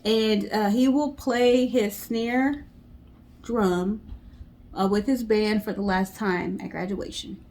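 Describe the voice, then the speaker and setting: Slow-paced woman telling a story. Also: mid-range voice, fairly even intonation, a little mellow. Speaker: co-host. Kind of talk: casual storytelling, retelling a story.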